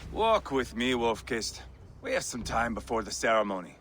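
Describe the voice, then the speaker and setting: An actor playing a brash, bloodthirsty, mocking Viking warrior with a theatrical, boastful manner in a video game cutscene. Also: male voice, medium pitch, big pitch swings, normal speed, a bit bright, a little hiss or hum. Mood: teasing. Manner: theatrical, boastful, swinging between teasing and menace.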